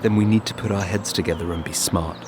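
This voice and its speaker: Actor as a deep-voiced, battered rebel man. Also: fairly even intonation, fast talker, noisy background, close to an everyday voice. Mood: somber, reflective. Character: battered, loyal, reflective immortal rebel man. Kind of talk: naturalistic audio drama acting. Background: music.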